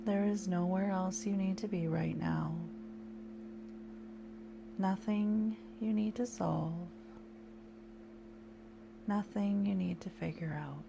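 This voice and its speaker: A woman leading a guided meditation in a slow, soft, soothing way with long pauses. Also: mid-range voice, gravelly, background noise.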